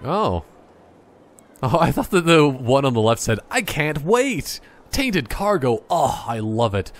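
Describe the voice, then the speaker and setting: A man's voice: medium pitch, sing-song, slow. A man giving casual, joking gameplay commentary, rambling in a silly, mock-serious way.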